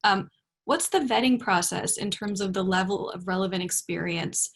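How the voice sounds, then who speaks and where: A woman's voice: medium pitch, moderate pace. Female moderator, mid-range voice, polite and tentative delivery while putting audience questions to a speaker. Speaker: event moderator. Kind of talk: reading audience questions in an online talk.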